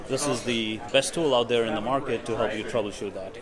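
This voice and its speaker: A man's voice: medium pitch, fairly even intonation, brisk. A man giving an informal, explanatory product demonstration as a company representative. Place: indoor tech conference event. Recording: busy, noisy conference hall crowd. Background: crowd chatter.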